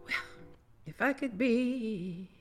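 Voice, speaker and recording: A woman's voice: fairly high pitch, animated, drawn-out words. A woman singer performing songs for a theatre piece. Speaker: singer-songwriter. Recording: loud piano accompaniment. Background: piano music.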